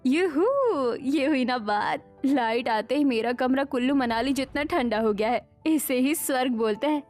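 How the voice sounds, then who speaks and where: A woman playing a young daughter-in-law in an animated family story, with expressive domestic melodrama. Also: sits high, unhurried, faint music behind. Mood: relieved.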